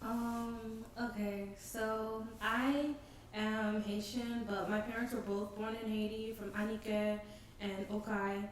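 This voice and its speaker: Unhurried woman from Boston, very echoey. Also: mid-range voice, little pitch movement. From Boston, United States. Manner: easygoing, unhurried, with many fillers. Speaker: panel guest. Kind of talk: casual panel chat. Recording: very echoey room, distant mic, crowd noise.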